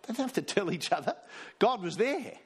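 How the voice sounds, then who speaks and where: Man preaching, high voice. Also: sing-song, a little fast, breathy edge. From Australia. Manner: storytelling, reading quotes aloud with emphasis. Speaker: pastor. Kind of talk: sermon.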